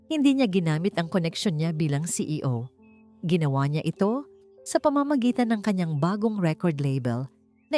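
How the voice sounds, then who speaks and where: Female storyteller, lowish register, measured dramatic read of romance fiction, faint music bed. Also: darkish tone. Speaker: story narrator. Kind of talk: scripted dramatic romance narration. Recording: music behind the voice. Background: background music.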